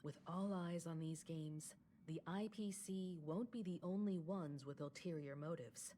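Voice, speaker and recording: An actress voicing a composed, knowledgeable woman mentor in a story game, speaking in measured, solemn exposition. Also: fairly low pitch, a little hiss or hum, natural voice. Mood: earnest, guiding. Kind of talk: scripted game story dialogue. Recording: loud background music. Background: game music.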